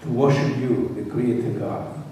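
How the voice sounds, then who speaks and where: A man with a Cambodian accent and Khmer as his first language, preaching in short phrases that leave pauses for an interpreter. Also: medium pitch, steady tone, warm tone. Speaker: guest preacher. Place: large echoey indoor room. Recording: echoey reverberant hall from far off, faint noise.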